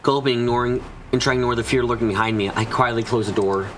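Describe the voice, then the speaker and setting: A man's voice: medium pitch, fairly even intonation, quick pace, soft background music, natural voice. A man voicing an anxious, frightened narrator trapped and desperate, in a first-person visual novel fan dub. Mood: uneasy, brooding. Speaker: voice actor narrating.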